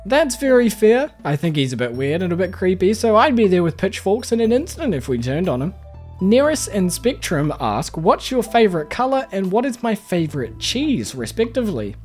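Young male video creator, New Zealand accent, friendly and chatty, animated delivery, light music bed. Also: high voice, normal speed. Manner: friendly, chatty, stumbles over names. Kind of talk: scripted voice-over answering viewer questions. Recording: faint background music under voice-over.

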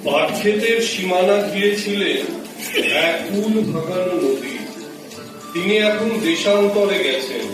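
A man's voice: high-pitched, a little slow. Guy reciting a poem, really echoey and a bit off mic. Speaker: recitation performer. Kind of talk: dramatic poetry recitation. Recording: very echoey, distant, music behind. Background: music.

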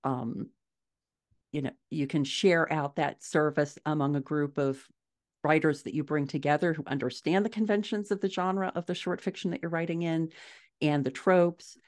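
A middle-aged woman giving measured, explanatory answers as a guest author in a conversational interview over a video call. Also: low voice, a little mellow. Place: small furnished room at home, indoors.

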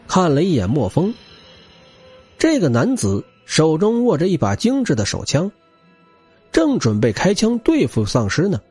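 A man's voice: a little high, a little mellow, husky. A man reading a novel aloud as a dramatic scripted narration. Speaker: audiobook narrator.

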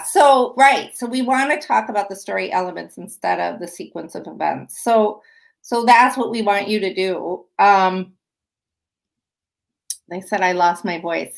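A woman's voice: mid-range voice, creaky. Woman, middle-aged, writing teacher, chatty, lots of fillers, webcam call. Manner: chatty, many fillers and restarts. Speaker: writing instructor. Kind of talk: online workshop teaching. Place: room at home. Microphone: webcam video call.

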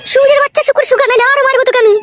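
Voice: very high-pitched, steady tone, very fast, a crowd in the background. A performer voicing a bossy, short-tempered village wife in a comic cartoon, scolding curtly. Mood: furious, threatening. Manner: scolding, curt, threatening. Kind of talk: exaggerated comic cartoon dialogue. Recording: clearly noisy track with clicks.

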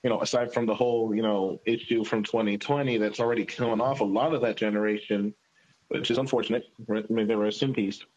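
A man's voice: on the low side, fairly even intonation, fairly quick, darkish tone, rough recording. A man in his thirties from Houston, hosting a casual call discussion, speaking earnestly and restarting often. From Houston, United States. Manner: earnest, hesitant, many restarts. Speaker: podcast host.

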